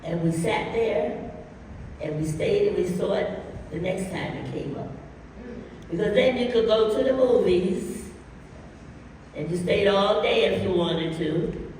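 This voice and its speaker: An older woman from Harlem, recounting her dance memories as a guest on a panel, with lively laughing energy. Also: medium pitch, unhurried, long pauses. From Harlem, New York. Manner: lively, animated storyteller, often laughing. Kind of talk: storytelling on a panel. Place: large hall at a dance camp. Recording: echoey hall, distant mic, faint noise.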